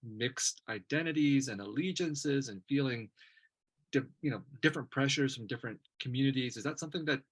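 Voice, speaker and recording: A man's voice: medium pitch, a little fast, husky. A man hosting a panel conversation, thoughtful and hedging with fillers as he frames questions. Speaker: host.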